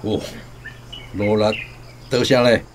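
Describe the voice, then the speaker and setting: A man's voice: fairly low pitch, steady tone, takes it slowly, a little hiss or hum. A Taiwanese-accented actor playing a wary village headman in a radio drama, talking in a probing, conversational way. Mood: casual, guarded. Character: wary male village headman hunting a wanted killer.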